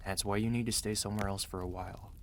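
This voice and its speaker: A man acts out a protective mafia boss husband in an intimate audio roleplay. His voice is low, and he speaks in a tender, confiding way, weary but gentle. There is noticeable noise behind him. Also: fairly even intonation, fast, close to an everyday voice. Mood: reluctant, serious. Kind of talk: intimate ASMR roleplay acting.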